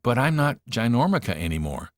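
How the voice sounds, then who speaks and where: Male narrator as young storybook heroine, spirited, determined, earnest, lively intonation, dry recording. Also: medium pitch, fairly quick, dry recording, lightly acted. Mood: downcast.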